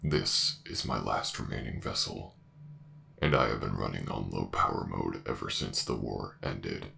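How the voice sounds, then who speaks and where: Casting: a ruthless machine intelligence for sci-fi audio drama, bottomless pitch, heavy gravel, formal and emotionless. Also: male voice, sharp tone, slight background noise, exaggerated voice. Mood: detached, explanatory. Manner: formal, measured, emotionless delivery. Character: ancient warship AI director, cold, logical, ruthless. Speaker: voice actor in an audio roleplay.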